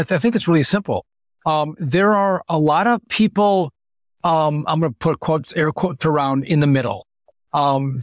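A man's voice: on the high side, no echo, clean studio sound. A man hosting a casual political chat podcast, stuffy with a runny nose, walking through poll numbers with many fillers. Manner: talks through numbers with frequent fillers and restarts. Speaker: podcast host.

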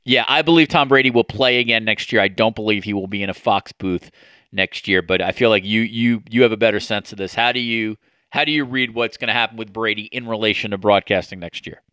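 Bright-voiced rambling podcast host. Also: male voice, on the low side, fairly quick, clean tone, dry recording, studio quality. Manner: rambling, lots of "like" fillers. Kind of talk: casual sports chat.

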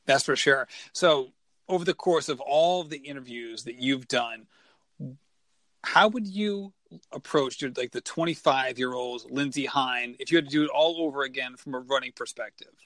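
A man's voice: mid-range voice, normal speed, fairly bright tone, no echo. A man hosting a podcast, chatting casually and rambling with many fillers as he asks questions. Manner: rambling, lots of "like", enthusiastic. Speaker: podcast host. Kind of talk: casual interview chat.

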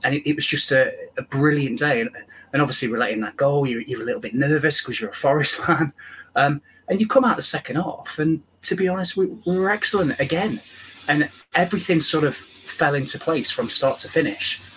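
A man with a croaky voice, a football fan guesting on a podcast, talking enthusiastically and rambling. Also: on the high side, a little fast, a bit bright, a little hiss or hum, rough recording. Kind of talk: casual podcast chat.

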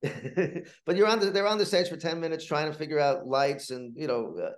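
Man telling stories with halting restarts. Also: fairly high pitch, steady tone. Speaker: guest, playwright and TV writer. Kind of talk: casual anecdotal interview talk.

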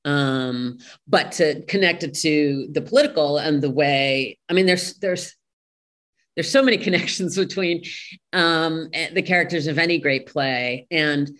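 A woman with a low voice speaks as an interview guest. She is thoughtful and halting, often searching for the right words as she explains her view. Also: fairly bright tone. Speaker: interview guest, play translator.

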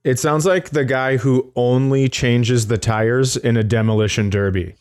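A man's voice: mid-range voice, steady tone, measured pace, darkish tone, smooth. A man co-hosting a comedy podcast, riffing casually with mock quotes and comic scenarios. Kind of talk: casual joking banter.

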